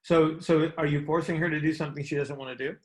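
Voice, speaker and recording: A man's voice: on the high side, fairly even intonation, quick pace, echoey room. A man giving conversational expert advice as a coach on a group call, explaining things and checking in with rhetorical questions. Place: indoor room, on a conference call.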